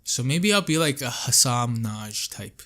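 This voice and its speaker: A man's voice: mid-range voice, lively intonation, moderate pace, fairly bright tone. A young man talking casually and informally with a friend. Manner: informal, slangy. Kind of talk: casual chat between friends.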